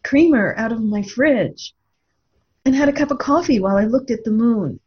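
A woman's voice: on the high side, expressive, normal speed, slightly rough, highs cut off. A woman speaking reflectively in a discussion, earnest and thoughtful, often posing rhetorical questions. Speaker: radio show co-host and author.

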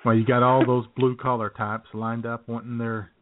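A man co-hosting a podcast with an ordinary mid-range voice. His sound is thin and narrow, like a phone line. He tells stories in a relaxed way and laughs often. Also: medium pitch, moderate pace. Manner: laid-back, laughing storyteller. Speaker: podcast co-host. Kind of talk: casual chat. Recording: tinny phone-like lo-fi audio.

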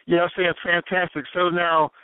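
A man hosting a call-in radio show, moving things along with quick, affirming replies. His voice sits a little high. The sound is dry and squeezed into a thin, phone-like band. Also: flat delivery, clean tone, dry recording. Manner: brisk, affirming. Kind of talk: interviewing callers.

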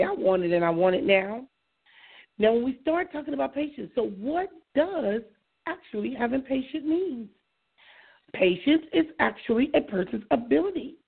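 A hoarse woman hosts her own call-in radio show, talking through a reflective solo monologue. Her fairly high voice is husky and gravelly with fry. The sound is narrow and lo-fi, like it is coming over a phone line. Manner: reflective, conversational, invites callers. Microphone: iPad.

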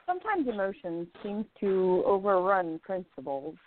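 A woman's voice: mid-range voice, very animated, normal speed. A woman playing a spirited, independent young journalist in an amateur radio play, speaking earnestly and with feeling. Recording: tinny phone-like sound.

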